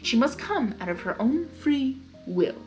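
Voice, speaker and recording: A woman's voice: sits high, very animated, faint music behind. A woman voicing a mischievous male chaos spirit from a storybook, smug and theatrical, drawing out his persuasive offers. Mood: persuasive, mock reasonable. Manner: theatrical, drawn-out persuasive delivery.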